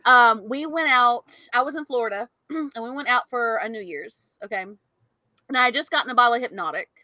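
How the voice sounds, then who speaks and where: A woman co-hosting a podcast, telling a story in an animated way and laughing often. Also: fairly high pitch, normal speed, dry recording. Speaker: podcast co-host. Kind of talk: casual storytelling chat.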